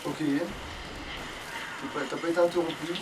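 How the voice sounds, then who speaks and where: A man's voice: fairly high pitch, level tone, moderate pace, far from the mic, background noise. A man giving casual let's play commentary with a friend, grumbling and swearing in a colloquial way. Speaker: let's player.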